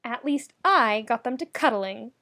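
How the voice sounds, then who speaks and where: A woman's voice: fairly high pitch, expressive, measured pace, sharp tone, full character voice. A woman plays a fearless, blunt young student in an audiobook comedy. She is a defiant young woman, grumbling with exasperation.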